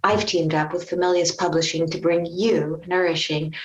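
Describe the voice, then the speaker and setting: A woman hosting a podcast as a clinical psychologist, introducing her guest with enthusiastic, warm phrasing. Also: fairly low pitch, fairly even intonation, normal speed, roomy sound, highs cut off. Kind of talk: podcast interview.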